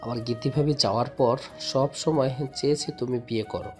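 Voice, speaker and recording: Male actor as backing, loyal youngest brother in audio drama, average-pitched voice, soft music behind. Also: brisk, close to an everyday voice. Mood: supportive. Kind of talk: audio story acting.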